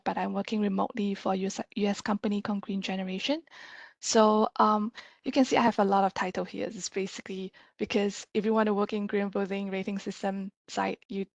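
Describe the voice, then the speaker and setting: A woman in her thirties with a Malaysian accent, giving an informal, self-deprecating guest lecture in a halting way with repeated words. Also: medium pitch, gravelly, dry recording. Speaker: guest lecturer, sustainability consultant. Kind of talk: casual presentation lecture.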